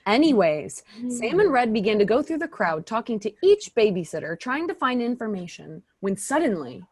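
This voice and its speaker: Woman as a wry lively storybook narrator. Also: fairly high pitch, normal speed, breathy edge, full character voice. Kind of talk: amateur theatre narration.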